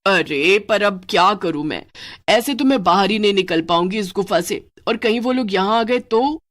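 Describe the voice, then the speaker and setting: A woman's voice: mid-range voice, normal speed, natural voice. A woman voicing a mischievous thieving female witch who is sleepy and whiny. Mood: defensive. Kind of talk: cartoon voice acting.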